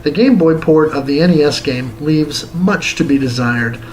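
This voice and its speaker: A man with a somewhat high voice narrates a scripted retro game review. He reads it aloud as the show's host, with a theatrical, tongue-in-cheek delivery. There is noise behind his voice. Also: moderate pace, poor audio.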